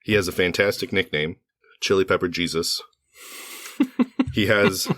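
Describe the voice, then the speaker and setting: A man co-hosting a podcast in casual chat, his voice slightly hoarse, rambling with fillers and self-corrections. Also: low voice, steady tone, unhurried, pushed and bright, dry recording, clean studio sound. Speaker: podcast co-host.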